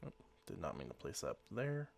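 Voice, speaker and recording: A man with a slightly hoarse voice from being sick, casually talking through his gameplay in a rambling, easygoing way. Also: low voice, big pitch swings, normal speed. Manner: rambling, easygoing, self-correcting. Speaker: let's play host.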